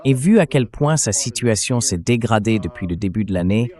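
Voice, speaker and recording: A man's text-to-speech voice, pitched on the low side. He speaks as an interview guest giving political analysis, in a measured and explanatory manner. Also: lively intonation, a little mellow. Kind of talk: translated interview commentary, read by AI voice.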